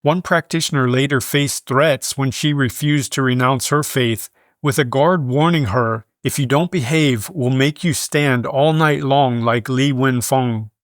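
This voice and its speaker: A man reading scripted news in a measured, formal manner. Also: medium pitch, dry recording, studio quality. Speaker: news reader.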